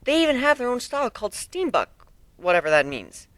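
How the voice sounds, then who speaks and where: A man's voice: extremely high pitch, huge pitch swings, a little fast, fairly bright tone, no room sound, pitched above the performer's own voice, full character voice. A man voicing a studious, inquisitive young female pony with polite, precise speech, in a cartoon fan story reading. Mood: informative.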